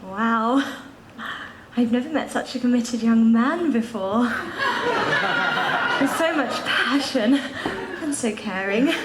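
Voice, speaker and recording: A woman's voice: a little high, slow pace, long pauses. A woman playing a sweet, polite, earnest young heroine in a pantomime on stage. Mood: admiring. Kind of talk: British pantomime stage acting. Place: theatre stage before an audience. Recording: echoey, very noisy theatre stage recording.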